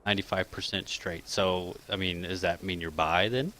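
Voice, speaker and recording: A man's voice: low-pitched, fairly even intonation, sharp tone. A man in his thirties from Kansas, easygoing in casual live-stream chat, reading out questions with plenty of um and uh. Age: 30s. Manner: easygoing, drawn-out fillers and quick chuckles.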